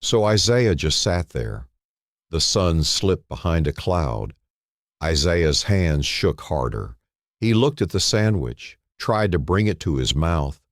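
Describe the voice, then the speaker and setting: A man narrating a scripted dramatic story in short, clipped phrases with dramatic pauses. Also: low voice, sing-song, no echo, studio quality.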